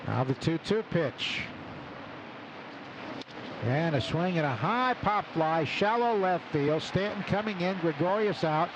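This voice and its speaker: A man doing live radio baseball play-by-play, calling the action in clipped phrases between pauses. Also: fairly high pitch, lively intonation. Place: open broadcast booth at outdoor ballpark. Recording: noisy outdoor ballpark broadcast. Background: loud stadium ambience.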